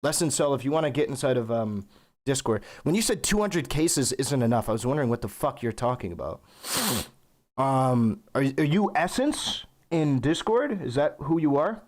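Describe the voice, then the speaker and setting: A blunt male streamer who hosts call-in debates on a live stream. His talk is casual and profane, with the occasional cough and throat-clear into a desk mic. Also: mid-range voice, expressive, normal speed. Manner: blunt, casual, swears freely.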